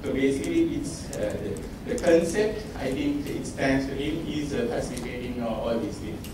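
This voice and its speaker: A man giving a briefing at a business lunch, speaking haltingly with many uh fillers. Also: medium pitch, expressive. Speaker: industry association representative. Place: hotel conference room. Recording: echoey hall from far off, noisy.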